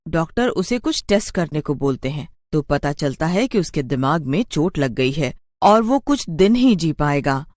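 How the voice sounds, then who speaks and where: An expressive narrator tells a scripted cartoon tale. The pitch is moderate but moves around a great deal from phrase to phrase. The recording is dry, with no audible room. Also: no room sound. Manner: expressive storytelling.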